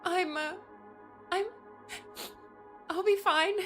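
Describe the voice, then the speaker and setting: A woman's voice: extremely high pitch, steady tone, drawn-out words, a bit bright, full character voice. A young woman playing a tender-hearted teenage daughter in an audiobook, with music underneath. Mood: tearful. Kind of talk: audiobook character dialogue.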